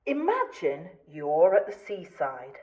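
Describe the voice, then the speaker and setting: Woman playing a wise old gnome, soft, reasoning, patient, storybook. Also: lowish voice, huge pitch swings, takes it slowly, recorded from a distance, highs cut off, heavily acted. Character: wise older male gnome, calm advice-giver.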